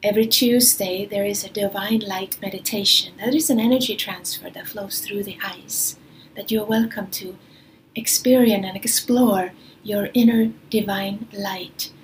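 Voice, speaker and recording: A woman whose native language is Swedish, giving a spiritual talk in a soft manner that often drops near a whisper, speaking away from her usual studio. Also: medium pitch, slight background noise, poor audio. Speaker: spiritual teacher.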